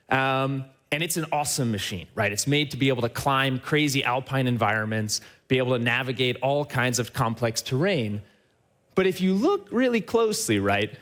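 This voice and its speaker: A man's voice: medium pitch, a bit bright. Upbeat man presenting on a stage. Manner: upbeat and enthusiastic, often checks in with a tag question; addresses the audience directly. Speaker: company CEO giving a stage talk. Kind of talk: live conference presentation. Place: trade show stage before a live audience.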